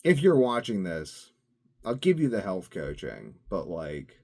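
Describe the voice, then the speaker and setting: A man with a somewhat low voice that swings widely up and down as he talks. He rambles casually to camera in his own daily video, musing aloud, drifting between thoughts and leaning on fillers. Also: wildly swooping pitch, unhurried, creaky, a little mellow. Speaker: vlogger.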